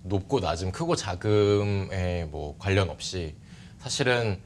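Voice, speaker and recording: A man in his thirties giving polite, measured explanations as an interview guest. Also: low-pitched, lively intonation, slight background noise. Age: 30s. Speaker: interview guest, startup CEO. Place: bright TV studio. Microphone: lapel mic.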